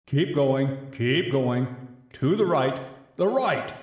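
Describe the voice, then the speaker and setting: A man voicing an unseen, impatient commander in a dramatic story reading, urging in a drawn-out way with roomy echo. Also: medium pitch, lively intonation, darkish tone. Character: booming impatient unseen male voice commanding captives to kill.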